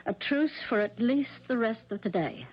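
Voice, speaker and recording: An actress playing a patient, reasonable middle-aged stepmother in a 1970s radio drama, measured and conciliatory. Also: on the high side, expressive, slightly rough. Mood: earnest, conciliatory. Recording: dull 1970s radio recording.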